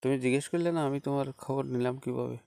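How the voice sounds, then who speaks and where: A man's voice: mid-range voice, steady tone, creaky, dark tone, close to an everyday voice. A man with a Bangladeshi accent playing a polite, hesitant former schoolteacher in a conversational phone-call audio story. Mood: hesitant, explaining. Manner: hesitant, halting, with frequent small acknowledgements.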